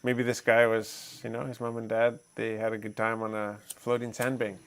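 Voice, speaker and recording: A man co-hosting a casual livestream who chats loosely, laughs often and reads a news article aloud haltingly. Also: on the low side, completely flat delivery, smooth. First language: English, Fijian not first language. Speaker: livestream co-host.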